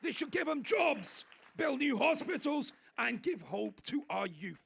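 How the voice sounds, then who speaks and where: A man giving an impassioned political speech at an outdoor rally, declaiming and shouting at the peaks. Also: very high voice, sing-song, measured pace, creaky, some chatter behind, a little hiss or hum. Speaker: rally speaker.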